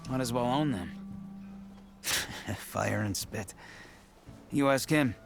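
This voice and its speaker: Weary, grumbling injured miner. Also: male voice, mid-range voice, takes it slowly, vocal fry, soft background music. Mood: wry, resentful. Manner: blunt, colloquial, grumbling. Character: injured, weary, plain-spoken male mine worker. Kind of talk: scripted video game dialogue.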